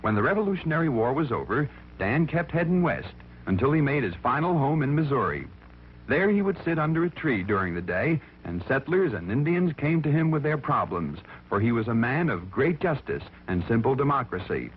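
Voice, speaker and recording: Animated male storyteller on a thin vintage recording. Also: mid-range voice, fairly quick. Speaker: narrator. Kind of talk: dramatic scripted storytelling for children. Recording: thin, phone-like vintage recording with music behind. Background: music.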